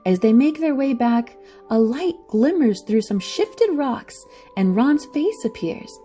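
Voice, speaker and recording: A woman's voice: fairly high pitch, lively intonation, a little slow, warm tone, faint music behind. A woman reading scripted story narration for an audio drama.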